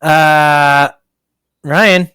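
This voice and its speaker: A man's voice: a little high, steady tone, drawn-out words. A man co-hosting a casual movie podcast, talking in a hesitant, rambling way and stumbling over words. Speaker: podcast co-host.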